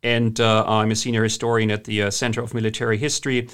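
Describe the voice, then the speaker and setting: A man's voice: fairly low pitch, flat delivery, fast, fairly bright tone, clear, resonant tone. A man with a German accent, a guest historian in an interview, speaking conversationally with many hesitations and restarts.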